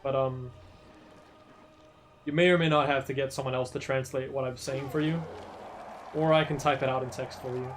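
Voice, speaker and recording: A man's voice: mid-range voice, fairly even intonation, normal speed, pauses often, slight background noise. A man explaining a gameplay speedrun tutorial in a casual, rambling way, his voice tired. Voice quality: chatty, rambling, self-correcting. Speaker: speedrunner streamer.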